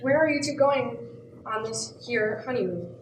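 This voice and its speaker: A woman's voice: on the high side, animated, normal speed, recorded from a distance, natural voice. A woman performing character lines in a radio play. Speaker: actor in a youth group radio play. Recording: very noisy, echoey, off mic.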